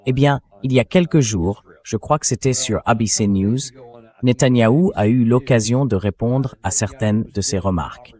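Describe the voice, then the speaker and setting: A man's voice: mid-range voice, moderate pace, a little mellow. A man's synthetic voice reading a translated voice-over as the host of a political discussion show.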